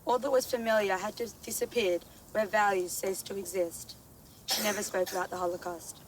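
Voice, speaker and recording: A woman's voice: mid-range voice, steady tone, brisk. A woman giving a prepared memorial reading in a solemn, rhetorical manner. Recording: faint ambient noise.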